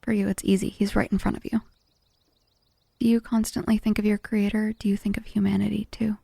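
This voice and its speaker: An actress playing a conflicted woman, blunt yet vulnerable, with halting, intense delivery in a sci-fi audio drama. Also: mid-range voice, flat delivery, gravelly, mellow, husky, no echo, studio quality. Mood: probing, earnest.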